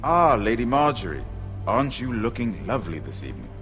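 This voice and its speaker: A man's voice: fairly low pitch, sing-song, brisk, a bit bright, faint music behind. An actor playing a shrewd, worldly nobleman in a game drama, droll and knowing, with a drawling delivery. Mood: suave.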